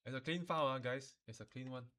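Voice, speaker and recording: A man with a Malaysian or Singaporean accent gives an informal, step-by-step software tutorial, instructing in colloquial phrasing with sentence-final particles. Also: medium pitch, quick pace.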